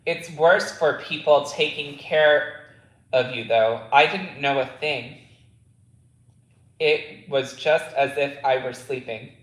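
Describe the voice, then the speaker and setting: A man reading the lines of a gentle, weakened woman recovering in hospital, in his own voice. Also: mid-range voice, slow pace, reverberant, low-quality recording. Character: weak sick aunt, woman, gentle.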